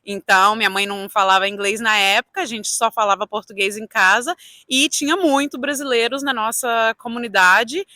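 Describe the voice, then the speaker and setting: A woman's voice: fairly high pitch, moderate pace, sharp tone, dry recording, clean studio sound. A young woman raised in Canada, chatting enthusiastically as the guest of a casual interview. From Canada (Toronto). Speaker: interviewee, real estate agent.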